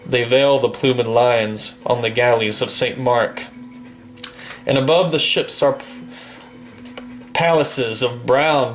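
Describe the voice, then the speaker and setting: A man reciting a long poem from memory in a slow, declamatory manner, swelling on the refrains, then chatting casually about a game. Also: medium pitch, poor audio. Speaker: poetry reader and gamer.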